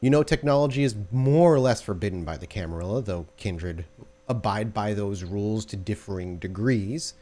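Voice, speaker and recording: A man's voice: fairly low pitch, moderate pace, warm tone. A man narrating an improvised tabletop game as game master, descriptive and conversational.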